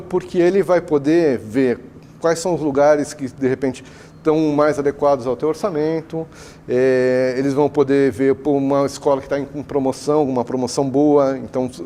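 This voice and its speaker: Brazilian man, consultant guest on a TV talk show, warm mellow tone, lively anecdotes, faint hiss. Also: mid-range voice. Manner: animated, chatty, acts out client dialogue. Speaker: international education consultant. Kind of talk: TV interview answers. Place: TV studio.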